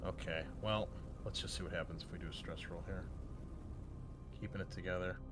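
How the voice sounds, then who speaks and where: A man with a fairly low voice acts as game master for a tabletop roleplaying session. He talks conversationally through rules and options, correcting himself as he goes. Soft music plays behind him. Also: steady tone, a little fast. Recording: background music under the voice.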